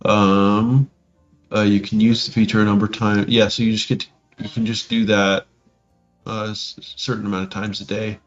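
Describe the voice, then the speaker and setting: A man co-hosting a podcast, casually discussing tabletop game rules and haltingly thinking aloud as he reads. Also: on the low side, flat delivery. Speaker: podcast co-host. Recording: dull lo-fi audio, music behind.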